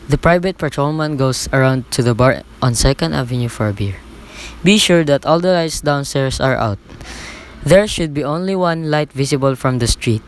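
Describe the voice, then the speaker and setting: A man's voice: mid-range voice, natural voice. A young man in an amateur radio play, playing a businesslike criminal boss who gives terse orders. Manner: terse, commanding. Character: cold, businesslike criminal boss arranging a murder. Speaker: student actor. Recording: faint background noise.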